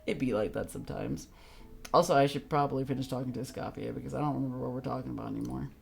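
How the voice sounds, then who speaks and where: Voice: mid-range voice, fast talker, gravelly, long pauses. A young adult game streamer chatting casually with viewers in a sweary, giggly, teasing manner. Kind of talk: casual livestream chat with viewers.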